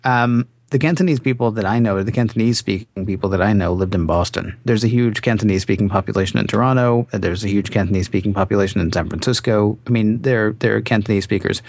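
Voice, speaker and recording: A man's voice: on the low side, fast talker, creaky, hardly any pauses, a little mellow, no room sound. A man hosting a tech podcast, asking curious questions in a chatty way. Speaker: podcast host. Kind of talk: casual tech podcast chat.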